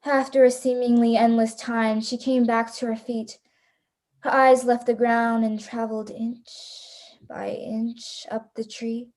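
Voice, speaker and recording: Woman playing a mellow, high-voiced hunted heroine. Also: flat delivery, a little slow, close to an everyday voice. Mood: hunted suspense. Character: frightened, resolute young woman, hunted shipwreck survivor. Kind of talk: first-person radio play narration.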